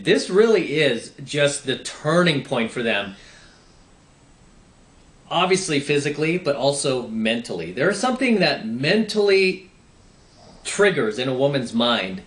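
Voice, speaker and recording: A man's voice: medium pitch, sing-song, a little slow, a bit bright, echoey room. A man lecturing on dating in an opinionated advice monologue, sarcastic and swearing now and then. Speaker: dating commentator.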